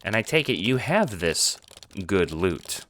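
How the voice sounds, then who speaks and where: A man acts out a naive, excitable kid in an audio drama. The delivery is casual and sweary, swinging widely in pitch with hesitant, incredulous turns. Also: unhurried, noisy background. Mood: puzzled.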